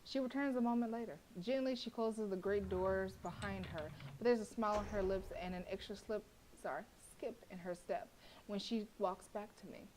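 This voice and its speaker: A woman live-streaming a horror visual novel, narrating its lines with expressive feeling. She breaks off to react, laugh and curse at what happens on screen. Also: medium pitch, normal speed, a little hiss or hum, rough recording.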